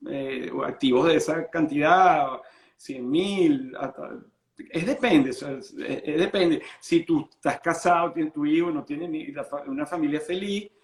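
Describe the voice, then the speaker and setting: A Venezuelan-born lawyer explaining estate planning in an interview, patient and full of restarts. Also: male voice, a little high, a little slow, echoey room. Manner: explains patiently, many restarts and repeated words.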